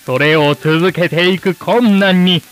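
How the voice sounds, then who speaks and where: An actor playing a formal, polished old-time television presenter addressing the nation in a radio drama. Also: male voice, high voice, slow, fairly bright tone, faint music behind. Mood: showy hosting. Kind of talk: broadcast announcing in radio drama.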